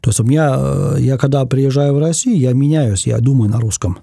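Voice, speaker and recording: A man from Germany, a guest in an interview, speaking thoughtfully in casual talk and pausing often to find his words. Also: mid-range voice, vocal fry, mellow, breathy edge, no room sound, studio quality.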